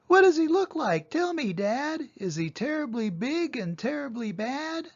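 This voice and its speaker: A man's voice: extremely high pitch, very animated, a little slow, lo-fi sound, voice pushed up, exaggerated voice. A man voicing a brave, curious young female monster child in a storybook, eager and exclaiming. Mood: curious, pleading.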